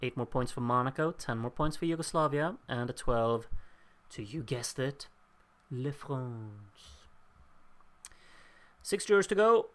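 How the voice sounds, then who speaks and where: A man hosts a live watchalong, talking casually through a scoreboard as votes come in. He reads out viewers' names with wry asides and laughs at his own stumbles. His voice sits at a middling pitch and sounds a little worn. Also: lively intonation, a little slow, long pauses, a bit bright. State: losing his voice. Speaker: livestream host.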